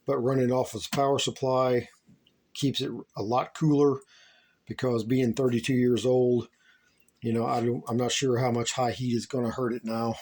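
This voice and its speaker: A man's voice: mid-range voice, flat delivery, normal speed, a little mellow. A plain-spoken man from the United States giving a casual, unscripted hobbyist tour in a folksy, rambling way. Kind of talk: casual unscripted vlog narration.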